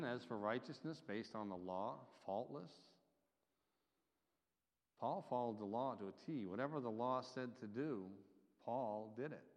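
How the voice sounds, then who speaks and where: A man preaching a sermon, with emphatic delivery that swells and drops in loudness. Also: mid-range voice. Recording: dull reverberant hall recording.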